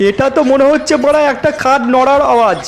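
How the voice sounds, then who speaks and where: A man voicing a crude, bossy older father-in-law in a comic cartoon, wheedling in a pitched-up voice. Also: fast talker. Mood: bossy, scolding. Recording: music behind the voice.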